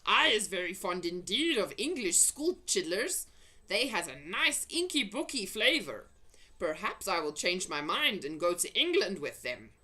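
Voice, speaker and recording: A woman's voice: fairly low pitch, big pitch swings, measured pace, pushed and bright, exaggerated voice. A woman voicing a huge, vicious, greedy male giant from a storybook, roaring insults in broken, folksy grammar. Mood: gloating. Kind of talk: storybook character voice.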